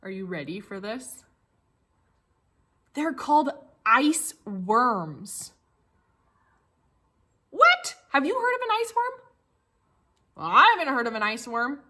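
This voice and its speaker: Female elementary teacher, American accent, highish bright voice, slow and repetitive delivery for little kids. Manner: animated, exaggerated surprise and rhetorical questions for children; simple explanations with repetition. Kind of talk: read-along tracing lesson for young children.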